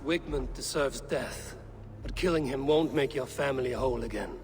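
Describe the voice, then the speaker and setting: An actor playing a calm Viking raider questioning suspects in a video game, measured, serious and direct. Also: male voice, mid-range voice, slight background noise, natural voice. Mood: grave. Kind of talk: dramatic game dialogue acting.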